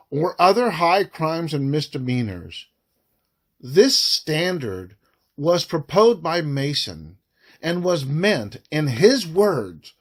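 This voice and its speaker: A man reads a formal report aloud, phrasing it deliberately and emphatically with frequent pauses. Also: on the high side. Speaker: narrator reading a report aloud.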